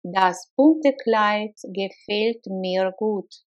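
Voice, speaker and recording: A woman teaching phrases, saying each one slowly and deliberately and repeating it for learners. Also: mid-range voice. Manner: slow, deliberate, clearly spaced repetitions. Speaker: language teacher. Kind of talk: slow repeated phrase drills. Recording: slightly dull lo-fi sound.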